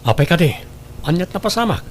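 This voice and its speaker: A man's voice: high-pitched, very animated, brisk. A man playing an entitled, indignant young adopted son in an audio drama, with a Northern Philippine accent. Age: young adult. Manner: questioning, indignant. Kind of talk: emotional audio drama acting. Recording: clear background noise.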